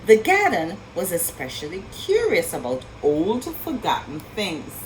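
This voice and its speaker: Female storyteller for a kids' read-aloud: lowish pitch, very animated intonation, marvelling asides, noisy recording. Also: unhurried. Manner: asks questions and marvels at pictures, speaking to children. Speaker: storyteller reading a picture book aloud. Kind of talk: expressive children's read-aloud with asides to young listeners.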